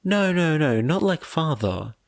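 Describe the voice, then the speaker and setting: A male narrator plays a young woman, a caring older sister in an audiobook story. The voice is raised and gentle, with slow, halting, anxious delivery. The sound is dry and close. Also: fairly high pitch, big pitch swings, dry recording, pitched above the performer's own voice. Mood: flustered. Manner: hesitant, soft-spoken, halting. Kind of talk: audiobook character dialogue.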